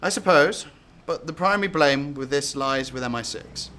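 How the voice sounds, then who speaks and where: A man's voice: fairly high pitch, normal speed, bright, forceful tone. A man acting a blunt, scornful security service chief in a radio drama, sarcastic.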